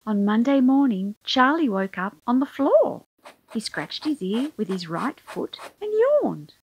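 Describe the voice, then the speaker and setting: A woman's voice: a little high, sing-song, measured pace, husky, rough recording. A woman reading a children's picture book aloud as storyteller, expressively, drawing out key words for emphasis.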